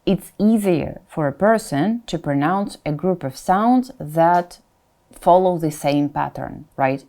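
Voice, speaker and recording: A woman teacher giving a pronunciation lesson, explaining to learners in an encouraging way and sounding out example words deliberately. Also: lowish voice, mellow. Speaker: Russian language teacher.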